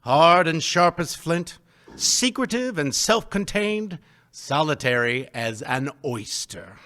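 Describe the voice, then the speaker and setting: A man narrating a live radio play with deliberate, emphatic storytelling. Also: a little high, very animated, sharp tone.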